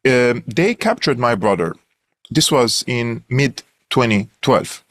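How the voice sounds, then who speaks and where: A man's voice: medium pitch, expressive, slow pace. A young man from Syria with a Syrian Armenian accent, a guest analyst telling his story earnestly in an interview, with many fillers and restarts.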